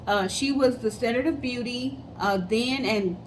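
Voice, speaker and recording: A woman's voice: on the high side, measured pace, a little hiss or hum, rough recording. A woman co-hosting a casual storytelling show, chatting conversationally and speaking straight to viewers. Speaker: co-host of a storytelling guessing show.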